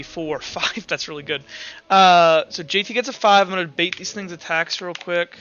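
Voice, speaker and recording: A man's voice: high-pitched, dull audio. A man acting as game master, casually thinking aloud as he narrates a tabletop game and explains the rules. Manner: informal, conversational, thinking aloud. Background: soft music.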